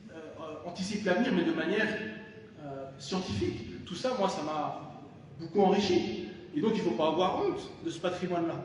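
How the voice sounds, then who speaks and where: A man gives a public lecture in a big hall, and his fairly high voice carries a long, booming echo. He sounds distant from the microphone, with a faint hiss behind him. He speaks thoughtfully, pausing often and restarting phrases. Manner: thoughtful, many hesitations and restarts. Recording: echoey hall from far off, faint noise.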